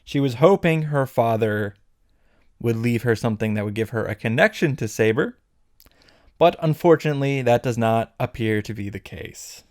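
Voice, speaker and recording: A man's voice: mid-range voice, moderate pace, darkish tone, dry recording, clean studio sound. A man narrating a comedic scripted recap as a video host, wry, playful and casually irreverent.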